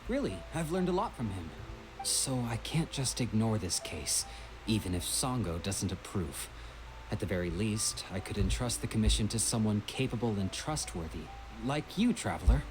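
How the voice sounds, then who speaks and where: A man's voice: fairly low pitch, expressive, normal speed, music in the background, natural voice, rough recording. An actor playing a polite, earnest, long-suffering young man in a video game, courteous yet exasperated. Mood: earnest, pleading.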